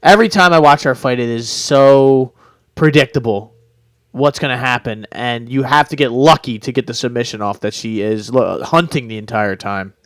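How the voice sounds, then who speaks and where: A young man co-hosts a casual sports podcast. His voice is smooth and clear, recorded close on a dry mic with no room sound. He talks bluntly, swears freely and teases his partner. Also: mid-range voice, moderate pace, fairly bright tone, dry recording. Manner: blunt, profane, teasing. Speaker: podcast co-host.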